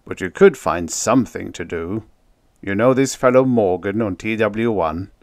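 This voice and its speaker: Man as an earnest low-voiced spaceman. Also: normal speed, dry recording, studio quality, close to an everyday voice. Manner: insistent, plain-spoken. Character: earnest, well-meaning, advice-giving male spaceman.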